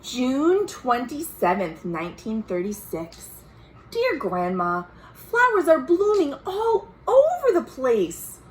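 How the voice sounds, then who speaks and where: A woman reads as an earnest young American girl writing home in a storybook. The voice is high and very animated, lingering over words with sing-song emphasis. It is slow and full of hope. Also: a little hiss or hum, exaggerated voice, poor audio. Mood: cheerful. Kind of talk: expressive storybook letter reading.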